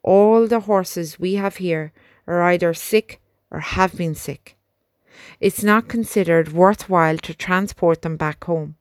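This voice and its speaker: A woman reading aloud, voicing a reluctant male army major who delivers bad orders slowly. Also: fairly low pitch, no room sound, clean studio sound, lightly acted. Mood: resigned. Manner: slow, measured, subdued.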